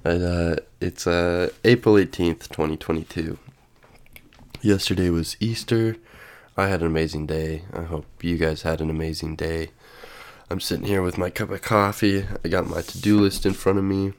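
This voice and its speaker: Stuffy guy w a cold, super deep gravelly voice, rambly solo podcast. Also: steady tone, normal speed. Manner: musing, reads definitions aloud with many fillers. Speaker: podcast host. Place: sitting at home with coffee.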